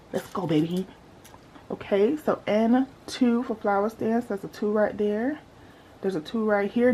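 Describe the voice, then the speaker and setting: A woman's voice: on the high side, slight background noise. Playful woman with a sleepy morning voice. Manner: playful, animated, talks to viewers as y'all. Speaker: budgeting vlogger. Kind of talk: casual chatty vlog.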